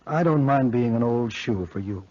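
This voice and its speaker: A man's voice: mid-range voice, animated, moderate pace, dark tone, close to an everyday voice. An actor playing an earnest, anxious, lovesick young college man with strained melodrama in a 1940s radio horror play. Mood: sad, devoted. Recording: muffled 1940s radio broadcast recording.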